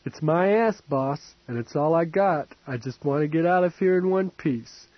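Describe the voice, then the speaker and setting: A hard young street mercenary woman talks bluntly and warily, as a male reader puts her on. Her voice is pitched well above his and pushed louder. The audio is dull and lo-fi. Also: moderate pace, dry recording, close to an everyday voice. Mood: defiant. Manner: blunt, wary.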